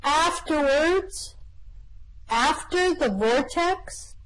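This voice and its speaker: A woman voicing a brisk, wry male alien traveller in an amateur radio play reading. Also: high voice, animated, a bit bright, full character voice, rough recording.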